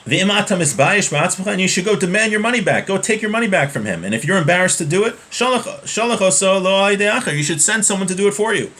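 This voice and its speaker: A man's voice: high-pitched, animated, fast talker, hardly any pauses, pushed and bright. Man teaching Hebrew texts at a webcam. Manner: alternates chanted Hebrew reading with casual explanation. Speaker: Torah teacher. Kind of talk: reading Hebrew text aloud and explaining it in English. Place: small home room with bookshelves. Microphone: webcam at desk.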